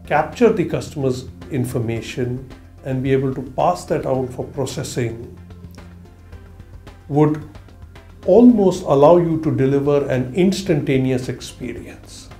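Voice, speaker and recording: Exec guy in his 50s being interviewed, slow careful halting talk, roomy echo. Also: mid-range voice, dark tone. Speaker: bank technology executive. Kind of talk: interview answers. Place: small office, indoors. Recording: roomy echo, music underneath.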